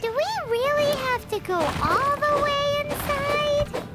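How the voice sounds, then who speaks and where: Game sidekick: childlike floating fairy, excitable, piercingly high and very animated. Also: female voice, slow pace, full character voice. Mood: whiny worry. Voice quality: squeaky, childlike. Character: small floating fairy girl companion, childlike, excitable, fretful. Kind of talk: video game voice acting.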